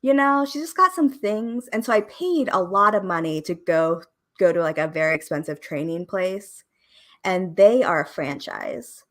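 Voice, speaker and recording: A woman in her twenties from Oregon, a podcast producer, talking in a relaxed, rambling way with fillers and small laughs. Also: fairly low pitch, moderate pace. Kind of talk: casual conversation interview.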